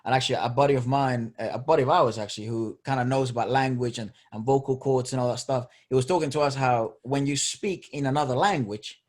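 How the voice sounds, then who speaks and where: A man from Venezuela whose first language is Spanish co-hosts a podcast in English. He talks very quickly at a middle pitch, rambling and restarting his sentences as he goes. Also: darkish tone. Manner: fast, rambling, many restarts. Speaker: podcast co-host. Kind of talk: casual podcast chat.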